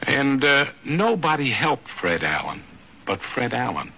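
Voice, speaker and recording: An older man, a former vaudeville performer, reminiscing in a husky voice. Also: mid-range voice, sing-song, measured pace. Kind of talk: spoken reminiscence. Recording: dull 1950s radio recording.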